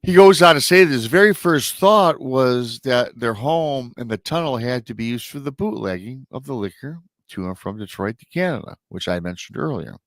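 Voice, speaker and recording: A man's voice: medium pitch, animated, normal speed, few pauses, no room sound. A middle-aged man hosting a live show, retelling a strange encounter story in a chatty way, sounding nasal and a little scratchy from a lingering illness. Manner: chatty asides to viewers. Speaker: paranormal show host.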